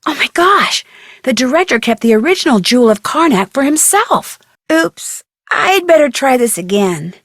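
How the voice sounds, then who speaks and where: Plucky young american girl sleuth for a video game, highish voice, super animated, dry close sound. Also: moderate pace, no room sound, heavily acted. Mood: excited, startled. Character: inquisitive, plucky young female amateur detective. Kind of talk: scripted adventure game voice acting.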